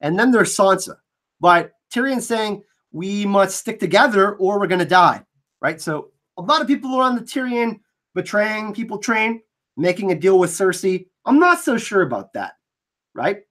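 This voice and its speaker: A man hosting a fan channel, breaking down trailers in casual commentary. He is an excitable, enthusiastic fan, and his voice is slightly scratchy from allergies. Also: high voice, moderate pace.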